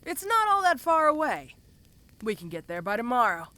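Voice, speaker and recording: A woman's voice: on the high side, very animated, moderate pace, bright, forceful tone, dry recording. A woman voicing a domineering giant ogre mercenary in an audio roleplay, speaking to the listener in a casual, commanding, teasing way. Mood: reassuring, teasing. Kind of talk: first-person audio roleplay addressed to the listener.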